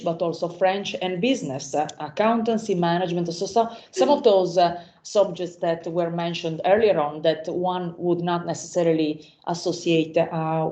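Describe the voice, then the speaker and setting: A woman hosting a university event and introducing the next speaker, with a halting delivery full of fillers. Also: lowish voice, darkish tone. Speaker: event host. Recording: echoey room, slightly muffled.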